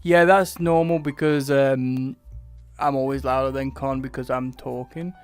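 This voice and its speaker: A man's voice: medium pitch, flat delivery, a little slow. A man with a British accent hosting a casual livestreamed podcast chat. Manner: chatty, checks on the stream audio. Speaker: podcast host. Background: faint music.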